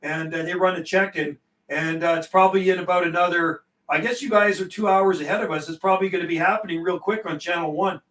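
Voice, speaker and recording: A man's voice: high-pitched, steady tone, quick pace, a bit bright, reverberant, far from the mic, slight background noise, poor audio. A middle-aged man chatting casually over CB radio on a livestream, folksy and given to repeating himself. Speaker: CB radio operator and livestream host.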